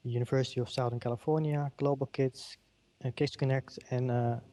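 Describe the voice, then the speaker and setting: A middle-aged man with a Dutch accent gives a keynote, pausing often with ums and uhs. His voice sits at an ordinary middle pitch. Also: flat delivery, dark tone. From Netherlands. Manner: halting, many ums and uhs. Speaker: keynote speaker. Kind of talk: conference keynote in a virtual world.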